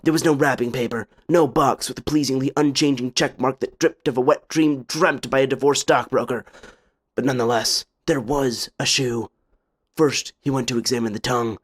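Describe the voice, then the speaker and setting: A man's voice: medium pitch, steady tone, normal speed, breathy edge. A man narrating a scripted tale for a puppet show in a theatrical, solemn, literary manner.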